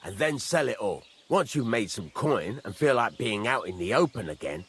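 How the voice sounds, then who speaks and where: Blunt master blacksmith, very animated. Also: male voice, lowish voice, moderate pace, breathy edge, full character voice. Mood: blunt, brisk. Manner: instructive, blunt, swears mildly. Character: gruff, demanding village master blacksmith teaching a newcomer. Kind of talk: video game dialogue acting.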